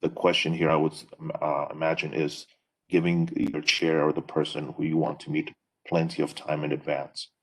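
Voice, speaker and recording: Deep husky man, non-native english, measured panel advice on a video call. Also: little pitch movement, poor audio. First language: not English. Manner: measured, with many fillers. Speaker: faculty panelist.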